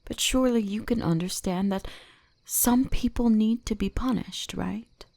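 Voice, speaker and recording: A woman voicing a teasing, gently dominant kitsune shrine maiden in an intimate ASMR roleplay, often slipping into whispers. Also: medium pitch, measured pace, gravelly, no room sound. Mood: soothing, sinister.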